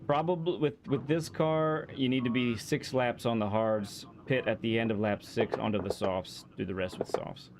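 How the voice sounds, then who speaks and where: A man hosting a live racing game stream, coaching a guest with calm, encouraging feedback. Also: medium pitch, moderate pace, few pauses, background noise. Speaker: host and driving coach.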